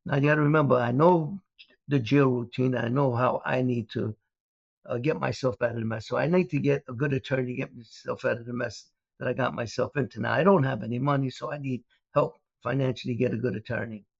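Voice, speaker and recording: Older New guy, gravelly, meandering storyteller, lo-fi dry sound. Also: medium pitch, steady tone, a little fast, no room sound. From Brooklyn, New York. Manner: rambling, many uh fillers and restarts. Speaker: guest, former mobster turned speaker. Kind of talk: storytelling interview. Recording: dull, dry lo-fi audio.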